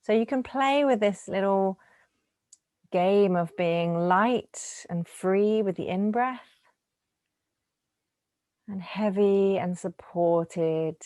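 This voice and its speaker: Low-pitched woman guiding relaxation, close and dry. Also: clear, resonant tone, no echo. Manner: gentle, soothing, unhurried with lingering pauses. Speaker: yoga nidra teacher. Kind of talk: guided relaxation meditation.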